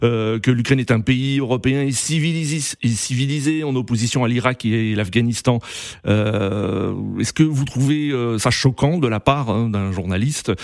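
Radio host guy, dry close sound, calm questions, lots of little yeahs. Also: mid-range voice, no echo. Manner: frequent brief acknowledgements, measured questions. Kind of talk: radio talk show hosting.

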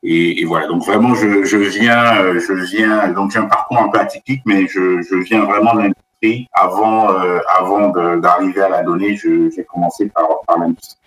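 A middle-aged man from Benin, a business school professor, answering interview questions in a halting way with many fillers and restarts. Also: low voice, fairly even intonation, fairly bright tone, rough recording. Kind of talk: interview conversation.

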